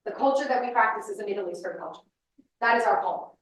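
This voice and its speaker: A woman's voice: medium pitch, fast. A woman, giving an emphatic, insistent public lecture to a room full of people. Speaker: speaker. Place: large room with audience. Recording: echoey hall, mic at a distance.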